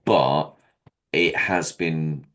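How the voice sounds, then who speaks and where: A man with an extremely low, deep voice, chatting candidly as a guest in an interview. He talks in a hesitant, self-deprecating way, full of pauses and restarts. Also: fairly even intonation, fairly bright tone. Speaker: comedian and veteran guest.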